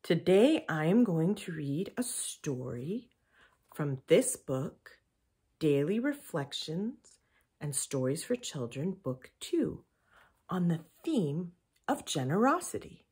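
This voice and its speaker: A young woman reading aloud and reflecting for children in a deliberate, carefully enunciated way. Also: deep voice, sing-song, darkish tone, breathy edge. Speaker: children's story reader and presenter. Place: indoors in a home room with windows.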